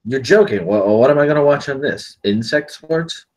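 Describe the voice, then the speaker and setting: An actor playing a gruff, irritable working father at a script table read, stammering and curt. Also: mid-range voice, lively intonation, normal speed, natural voice. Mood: sarcastic.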